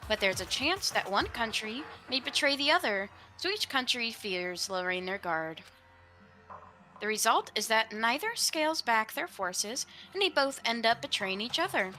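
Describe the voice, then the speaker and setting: A composed intellectual student, read by a woman with a bright, forward tone. The delivery is deliberate and explanatory, with some lively movement in pitch. Faint music sits behind it. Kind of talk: reading visual novel dialogue in character.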